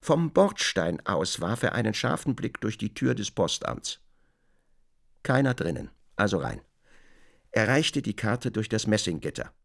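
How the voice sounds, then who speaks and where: Expressive male narrator with a creaky edge. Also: on the low side, unhurried. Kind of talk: literary narration in a radio drama.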